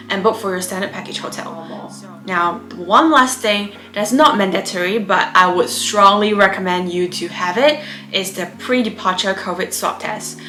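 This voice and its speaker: A woman's voice: mid-range voice, pushed and bright. A young woman from Malaysia, giving chatty, explanatory advice in a casual vlog, often saying "um" and "you know.". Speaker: vlogger. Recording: echoey room with music. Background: background music.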